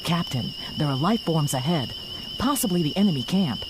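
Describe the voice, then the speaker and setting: A man's voice: a little high, sing-song, normal speed. A man playing a cautious crew officer reporting to his captain in an audio space drama. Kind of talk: scripted audio drama acting. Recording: loud outdoor animal noise.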